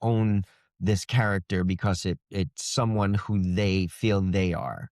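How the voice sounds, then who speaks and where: A man's voice: sits low, steady tone, unhurried, darkish tone, no echo, studio quality. A man speaking as an author guest in a casual interview, earnest and reflective. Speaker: guest, indie fantasy author.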